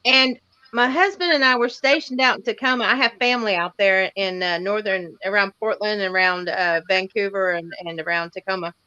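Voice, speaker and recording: A woman's voice: mid-range voice, steady tone, normal speed, bright, forceful tone, clear, resonant tone, no room sound, muffled recording. A woman in her forties with a Tennessee accent, chatting casually and in a folksy way as a livestream co-host. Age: 40s. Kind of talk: casual chat.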